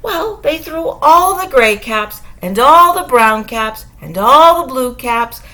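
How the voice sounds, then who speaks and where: Animated, high-voiced woman reading a children's story. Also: rough recording. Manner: expressive, asks listeners questions, dramatic pauses. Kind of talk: animated read-aloud bedtime story for young children.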